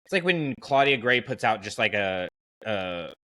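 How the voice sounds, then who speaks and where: A man talks casually as a podcast host in a slightly low, bright voice. The sound is dry, with very little room to it. He is conversational and opinionated, working through his thoughts out loud. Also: very animated, normal speed, no echo. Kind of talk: casual podcast chat about fiction.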